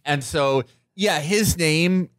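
A man's voice: on the high side, slow, a bit bright, clean tone, dry recording, clean studio sound. A man co-hosting a podcast, riffing sardonically in casual, profane political banter full of "like". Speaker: podcast co-host.

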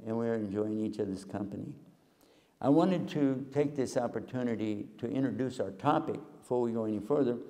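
Older preacher in an echoing hall. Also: male voice, mid-range voice, dark tone. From Texas. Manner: folksy, joking, chatty with the audience. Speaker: Islamic preacher and TV host. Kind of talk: humorous public lecture. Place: large hall with live audience. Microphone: handheld mic, far camera. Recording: long hall reverb.

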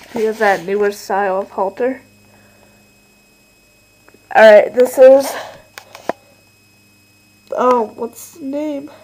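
A young woman giving a casual home video tour, halting and full of fillers, filmed on a handheld camera in her bedroom. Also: fairly high pitch, darkish tone, slight background noise, rough recording. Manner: chatty, filler-heavy, halting. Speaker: channel owner giving a toy stable tour. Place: bedroom with toy horse stables.

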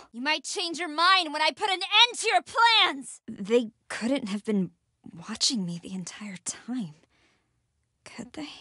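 A woman voicing a frightened but defiant, sarcastic young American heroine in a horror video game, with a breathy, intense delivery. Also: high voice, no echo, studio quality, exaggerated voice. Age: young adult. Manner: intense, sardonic, swinging between whispers and yells. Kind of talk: video game voice lines, dramatic acting.

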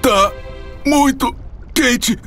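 A woman's voice: sits high, extremely slow, a bit bright, heavily acted. A woman voicing a big, timid, grumbling hippo in a children's cartoon, plaintive in manner. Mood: worried. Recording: music behind the voice.

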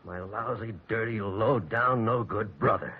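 A muffled old radio drama recording of a young American tough behind bars. His voice is husky and rough, full of bitterness and desperation, with slangy emotional lines. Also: lowish voice, unhurried. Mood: bitter. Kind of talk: 1940s radio acting.

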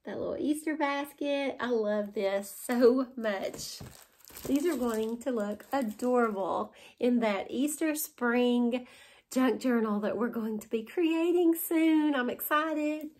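A woman's voice: on the high side, slow, a little mellow. A woman in her forties from West Virginia with a Southern accent, chatting and gushing over items she bought, laughing often, filmed in her living room. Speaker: haul vlogger. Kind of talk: casual show-and-tell haul. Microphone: camera in front at close range.

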